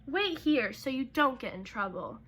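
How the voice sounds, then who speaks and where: A woman's voice: sits high, sing-song, moderate pace, fairly bright tone, breathy edge, exaggerated voice. A woman voicing a friendly man who looks after his companion in a children's storybook. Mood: instructing. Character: friendly man in a yellow hat, storybook guardian. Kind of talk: children's storybook read-aloud.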